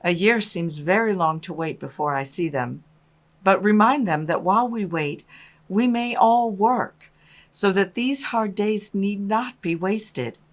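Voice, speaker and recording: An actress playing a loving, patient mother in a dramatised storybook, with a gentle and measured manner. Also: medium pitch, natural voice. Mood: tender.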